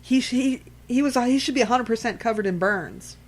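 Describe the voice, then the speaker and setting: A woman's voice: fairly high pitch, a little fast. Emphatic woman in casual fan chat. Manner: emphatic, profane, repeats herself for emphasis. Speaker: podcast co-host. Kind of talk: casual horror film fan discussion.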